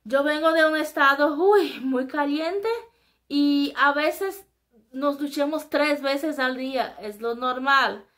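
A woman's voice: sits high, slow. A young woman from Brazil speaking Spanish with a Brazilian Portuguese accent, chatting to camera in a casual, self-mocking vlog from a small room. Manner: chatty, self-mocking, animated faces and gestures. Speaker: vlogger. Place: small indoor room before a flag.

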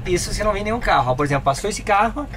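A man's voice: high voice, animated, racing. Brazilian man chatting in a noisy vehicle. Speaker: travel vlogger. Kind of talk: casual explanatory chat. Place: passenger seat of a moving motorhome. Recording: loud vehicle road noise.

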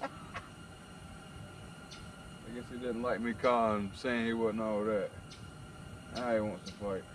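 A drawling man talks casually while night fishing from a lakeside camp, worn out and ready for sleep. He banters with the fish, half mocking and half fond, in a folksy way. The outdoor recording is noisy, with lake ambience clear behind him. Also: on the low side, steady tone, slow pace, pauses often. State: tired and sleepy. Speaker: fishing vlogger. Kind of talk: casual running talk to camera while fishing.

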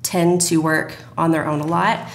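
A woman's voice: sits low, little pitch movement, moderate pace, creaky. A woman speaking as a guest in a conversational podcast interview about her work. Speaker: podcast guest, radio drama producer. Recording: roomy echo, faint noise.

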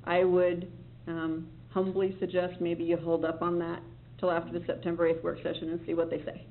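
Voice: medium pitch, completely flat delivery, normal speed, gravelly, a little hiss or hum. A city staff member briefing a board at a public meeting, rambling and correcting themself while sounding reassuring. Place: meeting room. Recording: tinny, lo-fi meeting recording with faint ambience.

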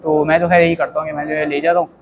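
A man's voice: on the high side, little pitch movement, racing, phone-quality sound. A young man talking casually to the camera between sets, in a gym. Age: young adult. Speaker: gym vlogger. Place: busy indoor gym. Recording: gym crowd noise behind.

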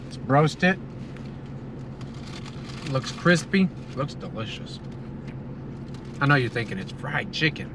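A man's voice: mid-range voice, big pitch swings, takes it slowly, long pauses. A middle-aged American man giving a casual, savoring food review to camera, talking between bites inside a car. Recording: car cabin with clear ambient noise.

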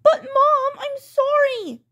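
A woman voicing a whiny, defiant young daughter in petulant, drawn-out protests, in a homemade pretend-play skit. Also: very high voice, a bit bright, voice pushed up, heavily acted. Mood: pleading.

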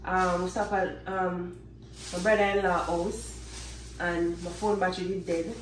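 A woman's voice: fairly low pitch, flat delivery, a little slow, far from the mic. A young woman with a Jamaican accent chats casually as a family vlogger, in informal Patois-inflected phrasing. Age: young adult. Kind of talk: casual vlog chat. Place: indoors in supermarket and home kitchen. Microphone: phone camera, handheld or a step away. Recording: roomy, noisy handheld phone audio.

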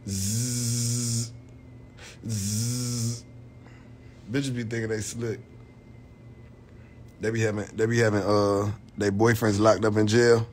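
A man's voice: fairly low pitch, darkish tone, a little hiss or hum. Joking young man livestreaming from bed. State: tired, late at night.

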